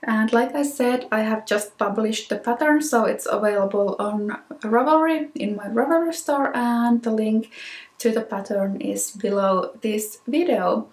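Finnish-accented woman in her 30s, slow and a touch high, unhurried host talking to camera in a small echoey room. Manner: unhurried, many ums and restarts. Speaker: knitting podcast host. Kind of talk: casual solo talk to camera. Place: small room with plain wall, indoors.

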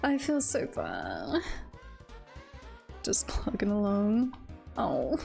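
A woman's voice: mid-range voice, wildly swooping pitch, drawn-out words, creaky, darkish tone, faint music behind. A woman streaming a video game, chatting casually and reacting aloud in a playful, giggly manner. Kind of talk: casual livestream chat and game commentary.

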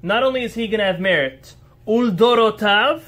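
A rabbi giving an earnest, emphatic Torah lesson to a small live group, posing rhetorical questions and answering listeners' remarks on the fly. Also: male voice, sits high, normal speed, fairly bright tone.

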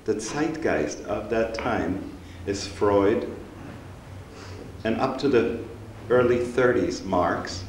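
A man's voice: on the low side, expressive. Older male rabbi, Austrian-raised, reflective lecturer; roomy synagogue hall. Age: 60s. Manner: measured, reflective, unhurried storytelling. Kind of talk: public lecture. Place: synagogue hall, seated on stage before an audience. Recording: roomy hall recording with faint hiss.

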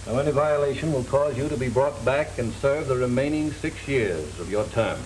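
Man acting as old prison warden in his 60s, formal and doubting, middle pitch, noisy old movie audio. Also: a little mellow, close to an everyday voice. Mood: official. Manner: formal, measured. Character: formal, skeptical elderly male prison warden. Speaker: actor playing a prison warden. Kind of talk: 1930s film acting. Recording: noisy 1930s film soundtrack.

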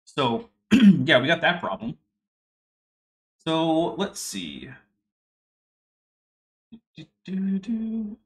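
A man's voice: fairly high pitch, very animated, extremely slow, pauses often. A young man casually hosting a livestream from home, chatting wryly and reading viewer messages. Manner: chatty, wry, reading super chats aloud. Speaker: livestream host.

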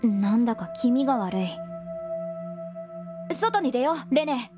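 A woman playing a cheeky but caring young boy companion in a voice drama, boyish, casual and expressive. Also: fairly high pitch, measured pace, music in the background. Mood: uneasy. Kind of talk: Japanese voice drama acting.

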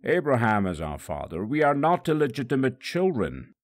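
A man's voice: mid-range voice, wildly swooping pitch, moderate pace, dry recording, studio quality. A man voicing stubborn, indignant religious leaders of ancient Judea in a storybook narration.

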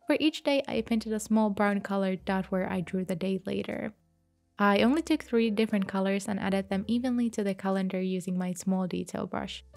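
A woman giving a calm, gentle voice-over for her journal painting video, a little sick from a mild flu. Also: medium pitch, normal speed, creaky, faint music behind. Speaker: bullet journal creator. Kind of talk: voice-over narration of a craft video.

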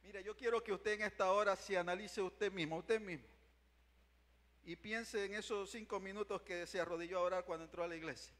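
A man's voice: sits high, steady tone, a little fast, fairly bright tone. A young man preaching a fervent revival sermon, pacing the stage with a handheld mic and calling out to his listeners again and again. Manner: impassioned, repeats "mi amado hermano", paces the stage. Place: large church sanctuary. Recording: long church reverb.

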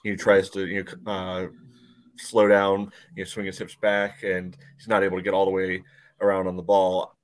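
A man in a casual sports breakdown on a video call, explaining plays in a measured way with frequent "you know". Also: low-pitched, completely flat delivery. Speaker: podcast panelist. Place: indoor room, remote video call. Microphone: video call microphone.

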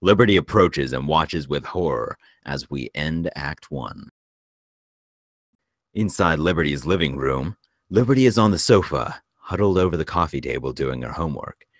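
A man's voice: rumbling low, expressive, moderate pace, no room sound, studio quality. A man reading screenplay stage directions as scripted narration for a table read.

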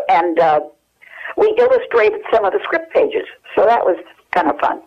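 Old american lady on the phone, muffled lo-fi sound, kinda halting, searching her memory as she chats. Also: mid-range voice, wildly swooping pitch, slightly rough. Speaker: interview guest. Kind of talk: casual reminiscing interview. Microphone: telephone. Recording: dull, lo-fi telephone hook-up.

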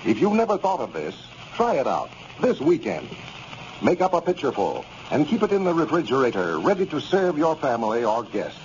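A man's voice: mid-range voice, darkish tone, dull audio. An American man announcing a 1930s radio show with a polished, friendly scripted delivery. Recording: band-limited 1930s radio recording, faint noise.

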